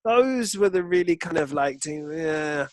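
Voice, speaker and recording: A man's voice: on the high side, animated, unhurried, dry recording. A man co-hosting a football podcast, chatting casually with irreverent, sweary, joking banter.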